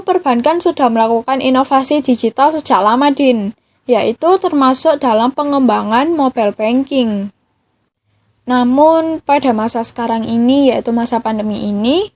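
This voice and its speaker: A woman's voice: on the high side, a little mellow. A teenage young woman with an Indonesian accent, giving a scripted explanation as a student podcast co-host. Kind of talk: scripted explainer read aloud.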